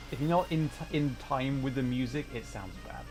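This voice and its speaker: Male game streamer, mid-pitched, chatty and excitable, casual live commentary, clear game music behind. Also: normal speed. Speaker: video game livestreamer. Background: video game music.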